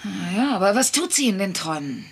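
A woman's voice: mid-range voice, very animated, fairly quick, creaky, breathy edge, heavily acted. A woman voicing a blunt, teasing, persistent working-class wife in a dubbed sitcom, wry and probing. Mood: coaxing. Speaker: voice actor in a German sitcom dub.

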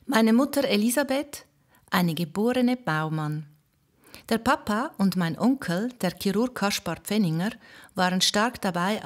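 A woman playing a cheerful, modest miller's wife from a historical Swiss village in a scripted audio drama, chatty in manner. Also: medium pitch. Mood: fond recollection.

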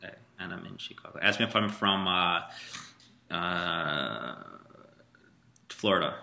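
A man's voice: sits low, animated, a little fast, creaky, bright, forceful tone. A man teaching a student Arabic in a one-on-one lesson, patiently prompting him and repeating his praise.

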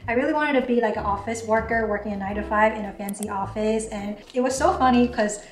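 A woman's voice: on the high side, fast, far from the mic. Chatty young woman. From Taiwan. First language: Mandarin. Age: 20s. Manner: chatty, frequent "like" fillers. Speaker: YouTuber. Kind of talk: casual Q&A vlog. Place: home kitchen, indoors. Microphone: camera across the counter. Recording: echoey kitchen with background music.

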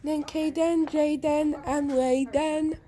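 A young girl casually narrating a tour of her game world, naming each character one by one with halting restarts, recorded as a voice-over. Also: high-pitched, steady tone, warm tone. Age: under 10. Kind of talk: casual show-and-tell narration. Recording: music playing behind.

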